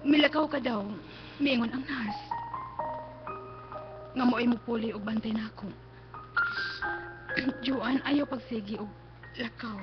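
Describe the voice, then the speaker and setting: A woman's voice: a little high, takes it slowly, pauses often. A woman with a Cebuano accent acting an anguished, pleading blinded patient in a radio drama, drawing out her words emotionally. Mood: hurt, needy. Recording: dull lo-fi radio stream, music behind.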